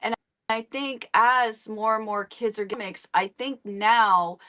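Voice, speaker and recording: Middle aged lady w a high-ish voice, chatty animated interview guest, kinda self-deprecating. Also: slow pace. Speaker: interview guest, comic book artist. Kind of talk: casual interview chat.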